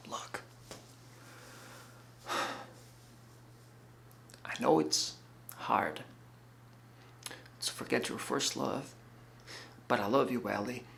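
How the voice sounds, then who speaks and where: Romantic drama: male actor as wounded young fiancé, proud but pleading, earnest, insistent, creaky edge. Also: medium pitch, fairly bright tone, slight background noise.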